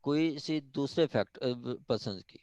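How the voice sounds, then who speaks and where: A man with a Pakistani accent giving an informal law lecture, explaining step by step and reading formal passages haltingly. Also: medium pitch, expressive, a little mellow, no echo. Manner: switches between reading formal English haltingly and explaining conversationally. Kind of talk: informal lecture explaining a legal text clause by clause.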